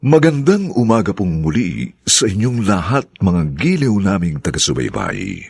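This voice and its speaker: A Filipino man introducing a radio drama in a formal, solemn tone. His voice is low and husky, with pitch swooping widely. He speaks very slowly. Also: wildly swooping pitch, a little mellow, no room sound, clean studio sound. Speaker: radio drama narrator and announcer.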